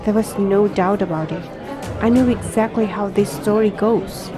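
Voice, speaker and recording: A woman narrating an audio drama in the first person as an anxious young protagonist, grave, measured and introspective. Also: mid-range voice, steady tone, music in the background, crowd noise, close to an everyday voice. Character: anxious young reader, inwardly narrating an apocalypse.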